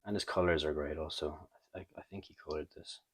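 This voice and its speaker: A man hosting a comic book review in a casual, unscripted way, thinking aloud with halting, trailing pauses. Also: extremely low pitch, fairly even intonation, husky, dry recording. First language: not French. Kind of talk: casual unscripted show-and-tell commentary.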